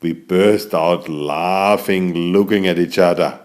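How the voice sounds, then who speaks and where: A man narrating a scripted bedtime story for small children in an expressive, exclamatory way. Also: low voice, takes it slowly. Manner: expressive, exclamatory, addressing young listeners.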